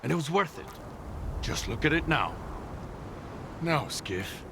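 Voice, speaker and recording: A man's voice: a little high, big pitch swings, unhurried, creaky, heavily acted. An actor playing a hardened middle-aged male stalker, bitter, intense and defiant, in a game cutscene. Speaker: game character. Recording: noisy game ambience.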